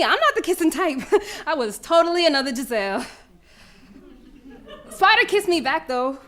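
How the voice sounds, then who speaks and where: A woman's voice: sits high, fairly bright tone. A woman playing a fierce, proud young girl runner, telling her story as a brash, streetwise dramatic monologue. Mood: giddy, amazed. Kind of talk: dramatic first-person monologue.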